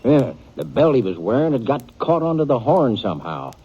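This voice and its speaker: An actor playing a matter-of-fact American frontier sheriff in a 1950s radio western, explaining things in a folksy, unhurried manner. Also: male voice, mid-range voice, very animated. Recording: muffled, hissy 1950s radio recording.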